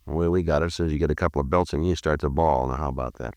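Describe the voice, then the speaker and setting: Very deep, rapid, deadpan detective. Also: male voice, no room sound. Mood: deadpan, probing. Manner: clipped, deadpan. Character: terse, unflappable male police detective. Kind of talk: 1950s radio crime drama narration and dialogue.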